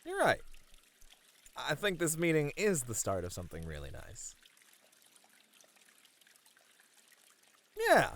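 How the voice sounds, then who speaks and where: A man's voice: a little high, huge pitch swings, normal speed, fairly bright tone, exaggerated voice. A man acting an easygoing, friendly manager unwinding after work in an ASMR roleplay, casual and lightly flirty. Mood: sincere, hopeful. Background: pouring, trickling water.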